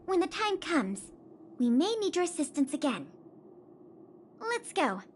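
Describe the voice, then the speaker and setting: A woman's voice: very high-pitched, very animated, measured pace, slight background noise, heavily acted. A woman playing an eager, studious young investigator in a video game, speaking in an earnest, articulate way. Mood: earnest, measured. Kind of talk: video game character dialogue. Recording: music behind the voice. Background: game background music.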